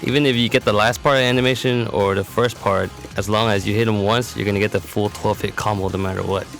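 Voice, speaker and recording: A man's voice: lowish voice, fairly even intonation, fast, gravelly. A man giving matter-of-fact, instructional commentary for a fighting game tutorial. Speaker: competitive gamer presenting a character demo. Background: music.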